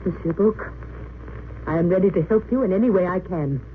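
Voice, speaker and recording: A woman's voice: fairly low pitch, a little fast, natural voice. A woman playing a principled English wartime nurse in an old radio drama, dignified, formal and earnest. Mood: resolute. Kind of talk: 1930s-40s radio drama acting. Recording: tinny, hissy old radio recording.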